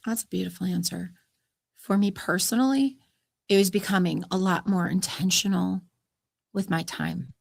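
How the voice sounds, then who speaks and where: A woman's voice: lowish voice, moderate pace, creaky, no room sound. A woman hosting a podcast interview, chatty and upbeat with a soothing voice and easy laughter. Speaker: podcast host.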